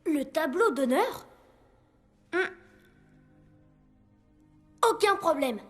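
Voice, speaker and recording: A cheeky young boy from an animated film. The voice is very high and takes its time, with brash, carefree confidence. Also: drawn-out words, faint music behind, full character voice. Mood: confident. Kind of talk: French animated film dub.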